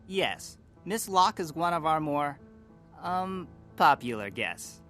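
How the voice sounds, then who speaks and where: A man's voice: fairly high pitch, very animated, takes it slowly, sharp tone, pitched above the performer's own voice, full character voice. A man voicing a meek, polite hotel receptionist in a game role-play, prim and hesitant. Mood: polite, guarded. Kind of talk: role-played video game dialogue. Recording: music behind the voice.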